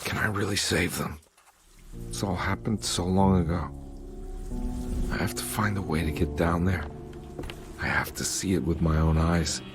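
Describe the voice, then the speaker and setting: An actor playing a haunted, guilt-ridden private detective in a horror game, with a brooding noir delivery. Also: male voice, low voice. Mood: weary, uncertain. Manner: brooding noir narration with halting confessions. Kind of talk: scripted game voice acting.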